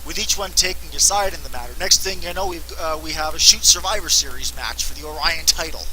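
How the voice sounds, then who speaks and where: A man's voice: a little high, brisk, pushed and bright, a little hiss or hum, low-quality recording. A man answering fan questions in a casual, rambling, self-mocking way, laughing often. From Canada. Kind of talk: casual unscripted Q&A.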